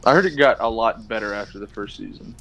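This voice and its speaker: A man's voice: lowish voice, creaky, a bit bright, rough recording. A man in his twenties chatting and joking with friends before a tabletop game stream. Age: 20s. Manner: joking, quick back-and-forth banter. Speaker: dungeon master.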